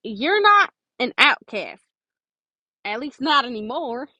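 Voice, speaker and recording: A woman's voice: high-pitched, sing-song, slow pace, sharp tone, no echo, exaggerated voice. A woman voicing a stern but caring teenage boy who is earnest and pleading in a story reading. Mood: exasperated, stern.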